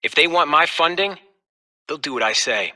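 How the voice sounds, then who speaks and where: A man's voice: a little high, lively intonation, normal speed, bright, forceful tone. A man playing a desperate, ruthless and paranoid company heir in a video game, delivering a clipped, scheming monologue. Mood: determined, ruthless.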